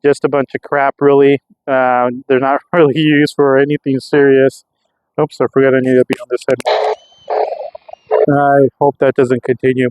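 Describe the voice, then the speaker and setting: A man's voice: mid-range voice, flat delivery, normal speed, darkish tone, smooth, no room sound, dull audio. An American man talking casually and off the cuff as a vlogger testing gear, rambling and thinking aloud. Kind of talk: casual unscripted vlog narration. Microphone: clip-on microphone.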